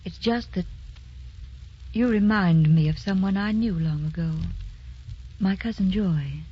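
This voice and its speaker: Radio drama: kindly middle-aged mother, protective, husky tone, dull vintage recording. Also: medium pitch, measured pace. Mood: concerned, guarded. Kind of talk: sentimental old-fashioned radio drama acting. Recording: muffled old radio recording with music.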